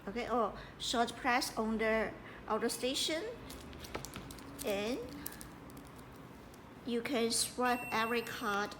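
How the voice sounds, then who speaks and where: A woman giving a hands-on installation tutorial as a product presenter, speaking in halting, piecemeal phrases with repetition. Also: a little high, steady tone. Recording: echoey room with clear background noise.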